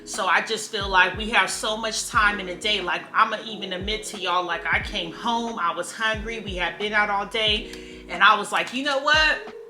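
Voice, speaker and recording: A woman's voice: mid-range voice, normal speed, pushed and bright. Candid vlogger woman in her thirties, chatty and emphatic, roomy sound. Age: 30s. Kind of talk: casual motivational talk to camera. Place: room at home. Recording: echoey room with faint music.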